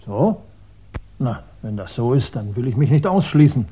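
A man's voice: medium pitch, lively intonation, moderate pace, mellow, husky. An actor playing a cheeky, self-mocking male police sergeant in a 1960s German radio crime play. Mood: wry. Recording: dull 1960s radio recording.

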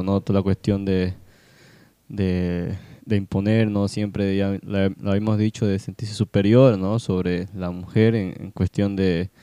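A man giving halting, unscripted commentary in a radio booth, repeating words and adding frequent tag questions. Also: lowish voice, warm tone, smooth, no echo.

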